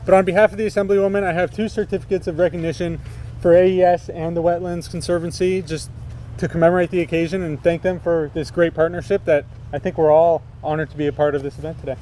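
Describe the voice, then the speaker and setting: A young-sounding man speaks quickly and evenly, with little rise and fall, keeping his remarks brief and polite. Faint outdoor noise is heard. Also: on the high side, flat delivery, dark tone, poor audio. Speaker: legislative staffer. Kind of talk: short presentation speech.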